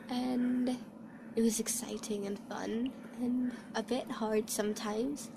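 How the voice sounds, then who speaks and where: High-voiced young woman, hesitant trainee. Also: flat delivery, slow, vocal fry, noisy background. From Hong Kong. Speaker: voice-over trainee. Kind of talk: casual interview answers. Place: recording studio.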